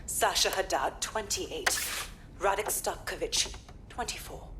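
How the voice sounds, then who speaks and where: An actress playing a stern, determined police inspector in a video game, giving a grave, measured briefing over background noise. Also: female voice, medium pitch, natural voice. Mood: grim.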